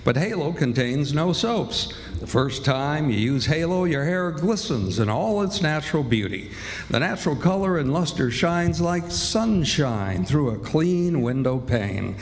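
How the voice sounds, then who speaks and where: A man's voice: a little high, expressive, measured pace, a little hiss or hum. A man announcing in old-time radio style, with a polished, salesmanlike delivery. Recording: live hall, faint music behind.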